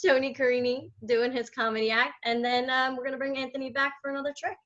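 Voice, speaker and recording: A woman's voice: sits high, flat delivery, quick pace, a bit bright, muffled recording. A woman co-hosting a variety show, chatting in a friendly, casual way as she introduces the acts. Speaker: show co-host.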